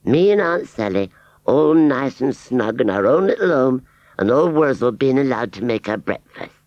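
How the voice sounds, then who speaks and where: A man's voice: mid-range voice, sing-song, normal speed, a little mellow, dry recording, muffled recording, voice pushed up, natural voice. A man reading a storybook and voicing a simple-minded, humble male scarecrow with a broad rustic drawl and hesitant ums. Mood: dreamy.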